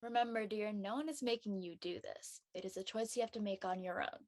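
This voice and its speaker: A woman playing a gentle, supportive mother in a family drama reading. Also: medium pitch, brisk, no room sound, clean studio sound, close to an everyday voice. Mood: gentle reassurance.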